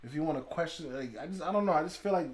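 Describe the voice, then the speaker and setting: A man's voice: fairly high pitch, animated, rapid-fire. A man from Haiti, a podcast guest talking in casual chat, reflective and musing, often trailing off and restarting.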